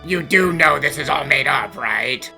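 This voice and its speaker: A woman's voice: low voice, lively intonation, unhurried, creaky, bright, forceful tone, exaggerated voice. A woman voicing a skeptical, grumbling cartoon assistant who heckles in a dry, complaining way for a fan dub. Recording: music playing behind.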